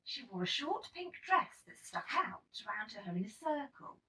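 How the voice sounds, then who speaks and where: A woman reading a children's picture book aloud in an expressive, dramatic storytelling manner. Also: fairly high pitch, moderate pace. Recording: slightly distant microphone.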